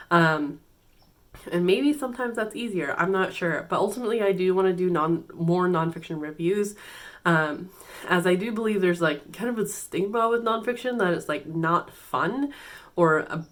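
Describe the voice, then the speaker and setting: A woman with a somewhat low voice talks through a casual book-tag video on her own channel. She is getting over a cold, so she sounds congested and a little nasal. She speaks in a loose, hesitant way, full of fillers and false starts. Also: a bit bright. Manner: chatty and hesitant, many fillers and restarts. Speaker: BookTube channel host.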